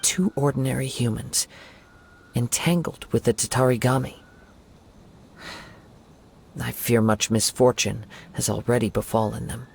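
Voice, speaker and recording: Composed young swordsman, soft-spoken, reassuring, mid pitch, light vocal fry. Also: steady tone, measured pace, long pauses. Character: calm young male wandering samurai. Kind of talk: video game voice acting.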